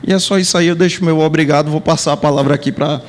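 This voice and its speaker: A man's voice: fairly high pitch, fairly even intonation, very fast. A man speaking on a panel, explaining rules step by step with worked examples, in a Brazilian Portuguese accent. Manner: explanatory, works through numeric examples. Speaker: panel speaker on pension law. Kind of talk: lecture at a panel. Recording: long hall reverb.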